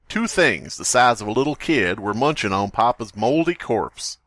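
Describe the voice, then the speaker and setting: A man reading aloud as a frightened young man writing a journal, in a plain, steady reading voice. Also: mid-range voice, dry recording, lightly acted.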